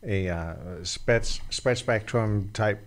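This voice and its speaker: A man in his forties from Denmark, a podcast guest telling his story in a relaxed way with many fillers, recorded on a desk mic in a small studio. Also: lowish voice, slow pace. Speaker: podcast guest, e-commerce seller. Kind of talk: casual interview chat. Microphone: desk mic on boom arm.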